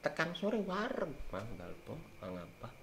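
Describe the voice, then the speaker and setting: A man's voice: mid-range voice, wildly swooping pitch, measured pace. An older man, telling folk lore in a rambling, emphatic way. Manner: rambling, emphatic swings in loudness. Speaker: storyteller elder. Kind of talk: casual folk talk on herbal lore. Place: small room with green backdrop, seated on sofa. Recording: roomy echo with faint hiss.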